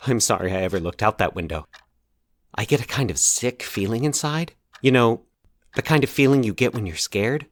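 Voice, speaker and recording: A man's voice: mid-range voice, natural voice. A man acting a nervous man haunted by nightmares. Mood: tense, dread. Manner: nervous, halting, stumbling over his words. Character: anxious man confessing a recurring childhood nightmare. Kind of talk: dramatized horror audio fiction.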